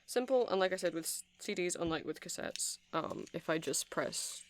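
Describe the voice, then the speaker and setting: A woman's voice: fairly low pitch, animated, moderate pace, a little hiss or hum. A woman giving a rambling, chatty ASMR demonstration, explaining things step by step. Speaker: ASMR creator.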